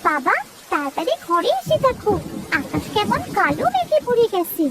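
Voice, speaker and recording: A woman's voice: very high-pitched, big pitch swings, normal speed, heavy background noise. A woman voicing an anxious young village daughter in a cartoon. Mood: alarmed. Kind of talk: Bengali moral-story cartoon voice acting.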